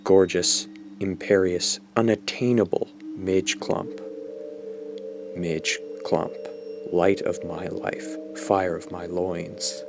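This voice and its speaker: A man's voice: low-pitched, flat delivery, slow pace, gravelly, over music, full character voice. An actor playing a smug, self-absorbed teenage boy in an audio drama, narrating his confession in a pompous, dramatic way. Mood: lovesick, grandiose. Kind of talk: audio drama acting, first-person narration.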